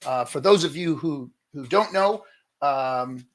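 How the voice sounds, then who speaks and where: A man's voice: on the high side, lively intonation, slow pace. A man hosting a casual livestream hangout, talking informally as the host.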